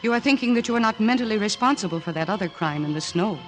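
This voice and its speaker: An actress playing a composed, determined young woman psychiatrist in an old-time radio drama, speaking in a measured, articulate, earnest way. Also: medium pitch, animated, lightly acted. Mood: urgent, accusing. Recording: restored vintage radio, orchestral music behind.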